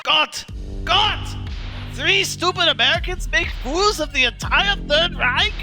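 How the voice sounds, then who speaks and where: Shrill, ranting villain general. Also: male voice, expressive, takes it slowly, heavily acted. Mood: outraged. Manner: bombastic, menacing, declamatory. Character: ranting, vengeful Nazi general, theatrical villain. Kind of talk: motion comic villain voice acting. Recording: loud music behind.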